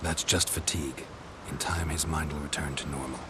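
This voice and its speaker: A very low, gravelly male voice for a stoic fantasy game monster hunter. He speaks tersely with deadpan dry humour, over clearly audible background ambience. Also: a little fast, noisy background. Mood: calm, matter-of-fact. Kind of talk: video game voice acting.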